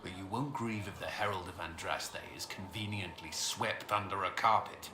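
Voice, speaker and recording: A man's voice: deep voice, normal speed, close to an everyday voice. An actor playing a firm, exasperated male military commander in a fantasy video game, with a curt, dry, commanding way of talking. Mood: dry, dismissive. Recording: roomy echo, clear background noise.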